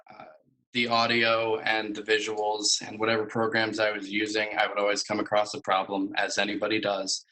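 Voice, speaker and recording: Young guy doing a student presentation, kinda low and even, hesitant, bright forward voice. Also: low-quality recording. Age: young adult. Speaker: student presenting a capstone project. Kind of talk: semi-formal slide presentation.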